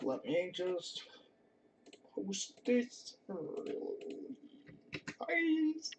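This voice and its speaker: A woman's voice: mid-range voice, very animated, very slow, slight background noise, poor audio. A woman game streamer chatting casually on a livestream.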